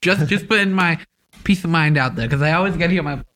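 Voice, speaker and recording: A man's voice: a little high, a little fast, pushed and bright. A man co-hosting a school podcast, joking and bragging playfully. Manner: playful, boastful joker. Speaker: student podcast co-host. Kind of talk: casual chat.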